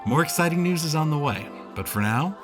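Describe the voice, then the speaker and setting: A man's voice: a little high, lively intonation, brisk. A man speaking as podcast creator and host, reading a scripted announcement to listeners. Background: music.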